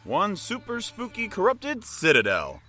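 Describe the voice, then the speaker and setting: A wry, playful party leader in a fantasy audio drama, performed by a man. His voice is bright and slightly high, with very animated pitch. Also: unhurried, faint music behind, full character voice. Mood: wry, resolute. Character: wry, easygoing male adventurer leading the party.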